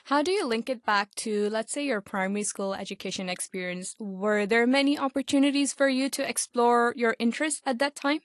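A woman's voice: fairly high pitch, brisk, few pauses, dry recording, studio quality. A woman hosting an interview, asking guiding questions in a friendly way. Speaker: host. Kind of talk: hosted interview.

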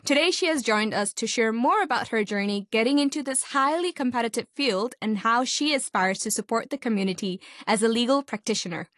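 A woman's voice: on the high side, expressive, fairly quick, hardly any pauses, a bit bright, dry recording. Young woman host in a dry studio. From Hong Kong. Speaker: podcast host. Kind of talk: scripted intro and interview questions.

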